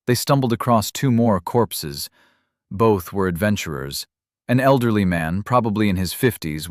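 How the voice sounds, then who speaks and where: Deep voiced guy narrating a fantasy audiobook, steady and even, dry close mic. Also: dry recording.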